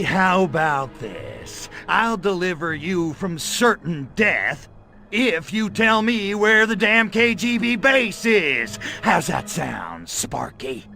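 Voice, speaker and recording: Cocky, sharp-voiced alien invader. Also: male voice, on the high side, gravelly, heavily acted. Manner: sarcastic, swaggering, slangy delivery. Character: cocky, wisecracking male alien invader, impatient and menacing. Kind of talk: video game cutscene voice acting.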